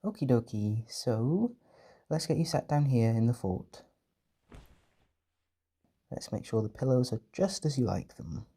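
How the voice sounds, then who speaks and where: A man performing a gentle, doting caretaker in an intimate comfort roleplay, speaking softly and reassuringly with tender pet names. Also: mid-range voice, sing-song, measured pace, long pauses, husky. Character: gentle, doting male caretaker soothing an overwhelmed partner.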